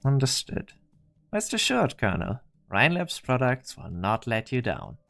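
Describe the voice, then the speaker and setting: A man voicing a smooth corporate male executive in an amateur fan dub, polite and reassuring. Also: medium pitch, huge pitch swings, a little slow, a bit bright, faint music behind, heavily acted.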